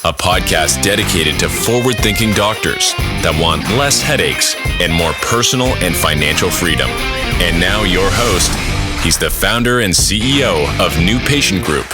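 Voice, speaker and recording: A man's voice: low voice, expressive, moderate pace. A man reading a scripted podcast intro with polished promo delivery. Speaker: announcer. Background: guitar music.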